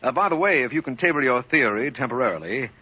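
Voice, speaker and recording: An actor playing a brisk, businesslike district attorney in an old-time radio drama, speaking in a clipped, official, emphatic way. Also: male voice, medium pitch, expressive, husky. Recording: dull, lo-fi vintage radio recording.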